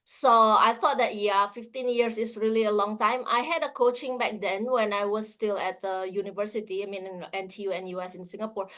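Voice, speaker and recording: A woman's voice: mid-range voice, steady tone, a little fast, few pauses, a bit bright. A woman in her late thirties from Indonesia, speaking as a guest in an interview over a video call, with many fillers and restarts. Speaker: interview guest, finance professional.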